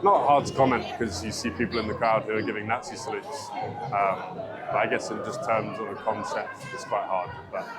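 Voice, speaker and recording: A man's voice: fairly low pitch, steady tone, a little fast, heavy background noise. A young man at an outdoor protest answering interview questions hesitantly, stumbling over his words.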